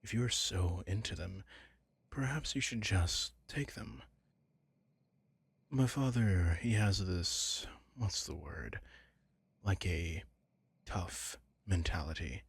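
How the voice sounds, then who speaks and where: A man acts out a young mafia heir in an ASMR audio drama, hesitant and gently possessive. His voice is low and very husky, with a gravelly creak. He speaks haltingly, close to the mic, sometimes slipping toward a whisper. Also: exaggerated voice. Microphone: close, dry microphone.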